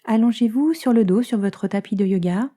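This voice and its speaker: A woman leads a guided relaxation. Her voice is fairly high yet very dark and velvety, softening into breath at the ends of phrases. She gives gentle, soothing instructions and leaves long pauses between them. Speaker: yoga nidra teacher guiding a relaxation session. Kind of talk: guided meditation.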